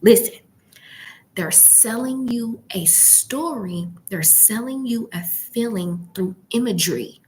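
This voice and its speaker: A woman coaching informally in a hushed, partly whispered voice, emphatic, often checking in with the listener. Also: on the high side, expressive, slow, rough recording. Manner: emphatic, rhetorical questions and frequent check-ins. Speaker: YouTube branding and e-commerce coach. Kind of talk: informal coaching talk to camera. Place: library.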